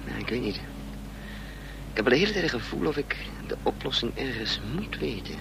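A man's voice: medium pitch, very animated, a little fast, gravelly. An actor playing an anxious, scheming adventurer in a radio play, muttering and hesitating as he thinks aloud. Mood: brooding, urgent. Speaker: protagonist and narrator of a radio play. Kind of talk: Dutch radio play acting. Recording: noisy old radio play recording, vehicle drone.

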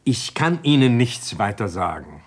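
An actor in a German radio crime drama playing an accused doctor, restrained, terse and precise. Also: male voice, mid-range voice, sing-song, a little slow, husky.

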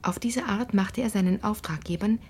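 An anxious, earnest woman, a criminal's married daughter, confides in a breathy voice of middling pitch, acted by a woman. The delivery is that of a radio crime drama. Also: normal speed, a little mellow, lightly acted.

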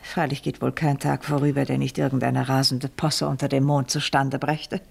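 Low, husky, haughty noblewoman. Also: little pitch movement, darkish tone, exaggerated voice. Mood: cool, calculating. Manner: formal, measured, imperious irony. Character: scheming, haughty aristocratic lady, coolly ironic and controlled. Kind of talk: 1970s German radio play acting.

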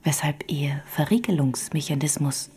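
A woman playing an ethereal, melancholy young ghost in a German radio play, breathy and genteel, with old-fashioned courteous phrasing. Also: low voice, moderate pace, noisy background, close to an everyday voice. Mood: melancholy, insistent.

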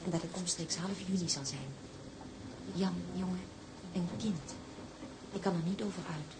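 A woman's voice: lowish voice, fairly even intonation, normal speed, close to an everyday voice. A woman playing a loving young wife voicing her letter in a radio drama. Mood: tender, joyful. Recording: radio drama with loud ambient noise.

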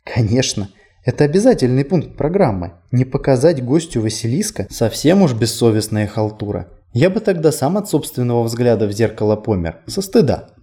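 A whimsical male city guide as a man reads him in an audiobook, chatting playfully and poking fun at himself. The voice is mellow and mid-pitched, with lively swings of intonation. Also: normal speed, natural voice. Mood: amused, chatty. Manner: conversational, playful, self-deprecating. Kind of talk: audiobook character dialogue.

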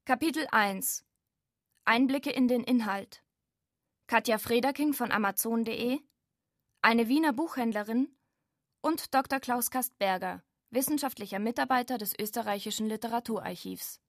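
A woman's voice: fairly high pitch, normal speed, no echo, clean studio sound. A woman reading scripted narration for a radio feature.

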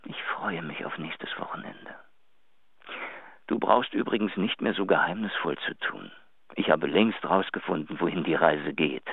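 Deep-voiced, besotted musician, muffled line. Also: male voice, moderate pace, gravelly, husky, no room sound, exaggerated voice. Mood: amused, excited. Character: besotted elated male musician, tender and excitable. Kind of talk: German radio drama phone message.

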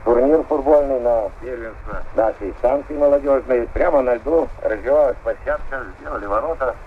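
A male polar station worker answers an interviewer's questions. His medium-pitched voice comes through a narrow, tinny radio connection with faint noise. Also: normal speed. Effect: radio link. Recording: tinny, narrow, noisy long-distance radio link.

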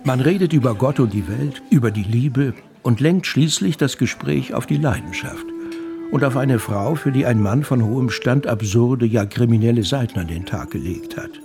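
An actor playing a proud novelist who confides in the listener in a radio play, conversational and gravelly. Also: male voice, medium pitch, lively intonation, moderate pace, close to an everyday voice. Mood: confiding, self-satisfied. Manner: conversational, self-satisfied, confiding. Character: proud, chatty male novelist presenting his own novel. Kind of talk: first-person narration in German radio play. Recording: music playing under the voice.

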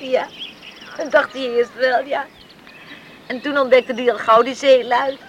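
Young villager, high voice, good-humoured, chatty, radio play, slightly noisy old recording. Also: female voice, fairly even intonation, unhurried, a bit bright. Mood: confiding. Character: young village woman, good-humoured, evasive about suitors. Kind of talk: Dutch radio play acting.

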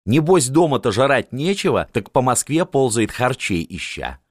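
A man's voice: medium pitch, sing-song, moderate pace, breathy edge, no echo, studio quality, pitched above the performer's own voice. A man voicing a scornful old princess who mocks a foreign suitor. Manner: mocking, grumbling.

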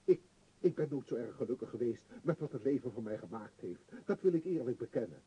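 An actor playing an earnest, self-righteous shopkeeper and family man in a radio play, with a dark, husky voice and insistent manner. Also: medium pitch, animated, fairly quick, muffled recording. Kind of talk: Dutch radio play acting.

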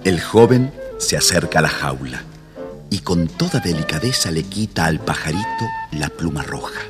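A man's voice: extremely low pitch, very animated, normal speed, big-room sound, over music. A man narrating a children's story in a scripted, dramatic storytelling style.

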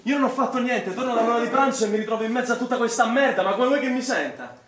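High-pitched defensive young man, echoey room. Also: expressive, racing, recorded from a distance, crowd noise. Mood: indignant, agitated. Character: accused young male swimming instructor, defensive, indignant. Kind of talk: naturalistic stage drama acting.

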